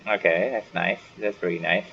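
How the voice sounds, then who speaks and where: A man's voice: low-pitched, fairly even intonation, moderate pace, vocal fry. A man giving casual gaming commentary, muttering and thinking aloud as he plays. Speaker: gaming video host. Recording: dull lo-fi mic with faint noise.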